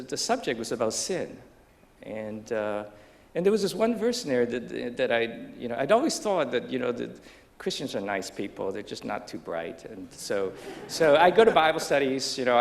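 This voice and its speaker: Halting, gravelly man telling his story. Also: medium pitch, a little hiss or hum. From Taiwan. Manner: halting, many restarts and fillers, self-deprecating humor. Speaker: business professor, panel guest. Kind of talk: personal story on a panel. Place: lecture stage under bright lights before an audience.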